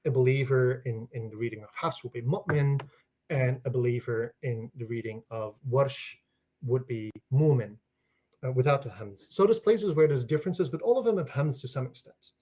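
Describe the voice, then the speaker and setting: A man's voice: medium pitch, moderate pace, mellow. A man from the Netherlands, a native Dutch speaker, explains as a guest scholar in an academic conversation, restarting phrases and checking in with "right?".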